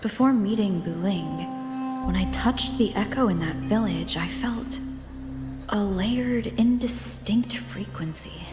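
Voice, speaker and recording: A woman voicing a calm, perceptive, earnest and reserved wandering heroine in a video game. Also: mid-range voice, slow, over music, lightly acted. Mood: pensive, certain. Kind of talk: voiced game dialogue. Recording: echoey, long reverberant tail.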